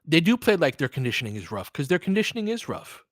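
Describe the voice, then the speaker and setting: A man's voice: on the high side, very animated, quick pace, no room sound, studio quality. A middle-aged man doing casual live basketball play-by-play, conversational and opinionated, chatting to listeners and reacting aloud to the action. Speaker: online basketball play-by-play host. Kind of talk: casual live play-by-play commentary mixed with chat.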